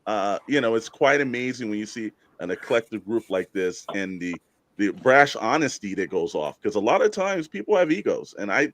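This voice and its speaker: Low-pitched man hosting a casual panel. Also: very animated, normal speed. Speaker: host.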